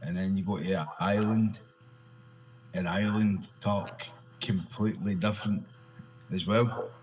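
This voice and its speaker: A man's voice: sits low, steady tone, a little slow, a little mellow, poor audio. A Glaswegian Scottish man in casual conversation, talking loosely, repeating himself and swearing freely. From Glasgow, Scotland.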